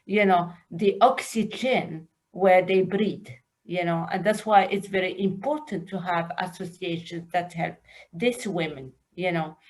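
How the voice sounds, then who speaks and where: A woman's voice: on the low side, unhurried. Give me a woman from Morocco talking earnestly over a video call. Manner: earnest, many "you know" fillers. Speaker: panelist. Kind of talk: casual video call discussion.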